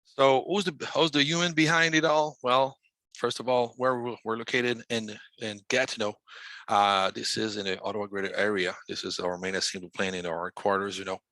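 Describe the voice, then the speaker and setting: A man's voice: fairly low pitch, steady tone, brisk, bright, forceful tone, no echo, poor audio. A man from Quebec with a French Canadian accent, giving a webinar sales presentation on a headset, hesitant with many fillers. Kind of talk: casual presentation.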